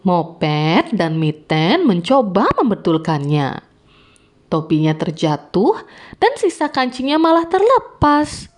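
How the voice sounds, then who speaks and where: A woman narrating a scripted fairy tale for children in an expressive, deliberate read-aloud manner. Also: lowish voice. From Indonesia. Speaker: children's storyteller. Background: music.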